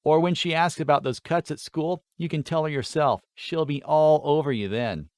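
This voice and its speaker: Male narrator as teasing schoolboy, raised pitch, light, casual, eager, fantasy audiobook. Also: moderate pace, dry recording, clean studio sound, lightly acted. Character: eager, teasing schoolboy brother, young fighter.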